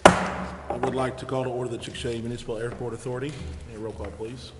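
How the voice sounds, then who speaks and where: A man's voice: medium pitch, flat delivery, fast. A man chairing a city council meeting as mayor, speaking in formal procedure and reading out agenda items. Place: indoor council chambers. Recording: echoey hall, distant mic, clear background noise.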